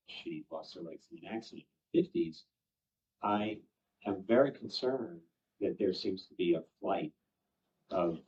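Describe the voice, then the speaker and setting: An older man, past sixty-five, with a very low voice puts a question from the audience. He speaks in halting, deliberate phrases. He sounds away from the microphone, with dulled audio. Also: darkish tone. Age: over 65. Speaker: audience questioner, former physician. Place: conference summit event. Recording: muffled, distant event microphone.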